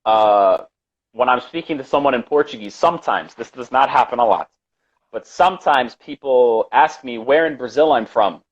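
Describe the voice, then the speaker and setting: A man's voice: mid-range voice, lively intonation, normal speed, dull audio. A man co-hosting a casual live stream chat about learning English, talking earnestly and repeating his points for emphasis over a video call.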